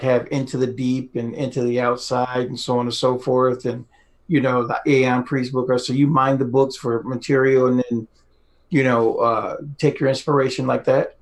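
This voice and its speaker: A man's voice: mid-range voice, fairly even intonation, normal speed. A man chatting casually as a podcast interviewer in a rambling, conversational way. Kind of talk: casual chat.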